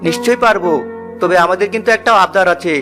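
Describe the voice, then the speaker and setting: A man's voice: sits high, fast talker, natural voice. A man voicing a confident, headstrong middle brother, a decisive young villager in a fairy-tale cartoon. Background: music.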